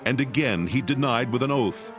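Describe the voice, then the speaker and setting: A man's voice: medium pitch, expressive, normal speed. A man narrating scripture from a script, in a solemn storytelling manner. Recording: background music under the voice.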